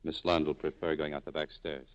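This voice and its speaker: Vintage radio drama: tough, cynical American cafe boss, deep-pitched, clipped and guarded, muffled period recording. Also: male voice, brisk. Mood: gruff, guarded. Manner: terse, tough, deadpan. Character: cynical, hard-boiled American cafe owner, guarded and shrewd. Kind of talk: 1940s radio drama acting.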